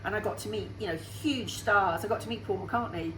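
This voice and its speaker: A chatty, upbeat woman in her sixties answers interview questions about her singing career. Her voice sits at a middle pitch and carries a roomy echo. A faint hum runs behind her. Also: brisk. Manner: chatty and upbeat, laughs easily. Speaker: singer guest.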